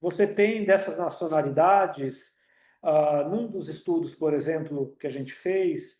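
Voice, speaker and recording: A man's voice: fairly high pitch, unhurried, a little mellow, roomy sound. A Brazilian man speaking on an online video call, with a Brazilian Portuguese accent, giving a talk full of fillers and restarts. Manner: hesitant, many fillers and restarts. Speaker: union director speaking on a webinar. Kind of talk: online talk with audience questions. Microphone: computer mic on a video call.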